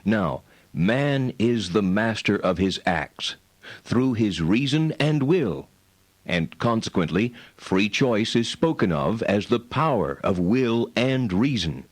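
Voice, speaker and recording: A learned medieval philosopher sets out his treatise in a low voice. He is deliberate, precise and didactic, with lively intonation in a formal dramatized reading. The sound is close and dry. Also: dry recording.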